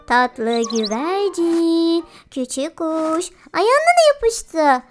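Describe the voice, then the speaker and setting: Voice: extremely high pitch, big pitch swings, very slow. A playful host of a children's game video with an exclamatory, giggly, cooing way of talking to cartoon animals. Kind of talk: playful children's gameplay commentary. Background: children's music.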